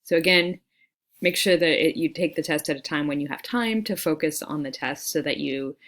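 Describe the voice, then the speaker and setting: Low-pitched, friendly woman teacher. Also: normal speed, a bit bright. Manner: friendly, conversational. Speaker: English teacher. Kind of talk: informal instructional screencast walkthrough. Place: screen-recorded voice-over for an online class.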